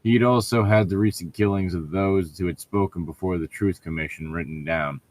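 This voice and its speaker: A man's voice: sits low, moderate pace, darkish tone. A man reading a story aloud as a co-host, breaking off for sarcastic, irritable, profane commentary and casual banter. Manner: sardonic, irritable, profane heckling.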